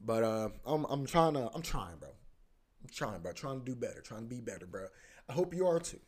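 A young man in his twenties runs his own podcast in an easygoing, rambling monologue, full of slang and fillers. He speaks at a middle pitch, relaxed and unhurried. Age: 20s. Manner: laid-back, slangy, rambling with many fillers. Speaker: podcast host. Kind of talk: casual solo podcast monologue.

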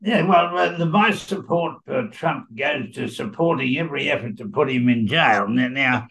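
Man, older, gravelly, mid pitch, emphatic, rambling, political podcast co-host. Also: normal speed, a bit bright, rough recording. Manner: rambling, emphatic, repeats and restarts. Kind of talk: casual political chat.

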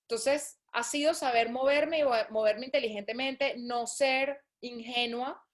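A woman from Venezuela speaks with a high voice in a casual interview. She tells lively stories and acts out what other people say. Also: measured pace. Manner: lively, acts out quoted people, laughs often. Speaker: guest, shoe designer.

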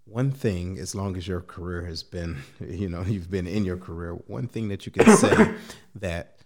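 A man hosting a casual podcast interview, energetic, greeting live listeners by name. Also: sits low, unhurried. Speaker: podcast host.

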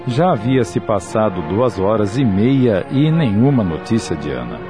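A man's voice: on the low side, sing-song, normal speed, music in the background. A man with a Brazilian accent delivering scripted narration as the narrator of a radio drama.

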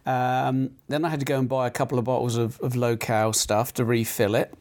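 A middle-aged man with a croaky throat, hosting a casual chat show, rambling with many fillers and restarts. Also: mid-range voice, little pitch movement, brisk, a little mellow.

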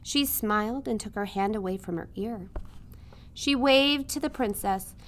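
A woman with a mid-pitched voice reads a children's story aloud. Her narration is scripted and expressive, with a teacher's dramatic phrasing. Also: unhurried, a little hiss or hum.

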